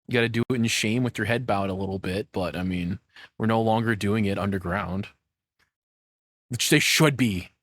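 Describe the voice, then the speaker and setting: A man's voice: on the low side, normal speed, fairly bright tone. A man giving casual reaction commentary as a streamer, rambling along in informal, slangy speech.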